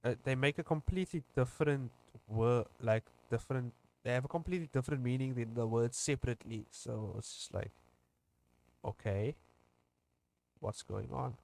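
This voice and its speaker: A man's voice: mid-range voice, normal speed, creaky, a little mellow, low-quality recording. A young South African man with a South African English accent, chatting casually on a livestream while he draws, rambling with lots of fillers and restarts. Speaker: webcomic streamer.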